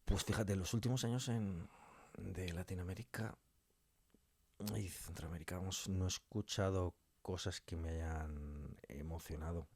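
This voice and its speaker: Low-voiced man from Spain, effusive and colloquial. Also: measured pace, a little mellow, slightly rough. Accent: Spanish from Spain. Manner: effusive, colloquial, emphatic repetition. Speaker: interview guest, audio fiction scriptwriter. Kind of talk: casual conversational interview.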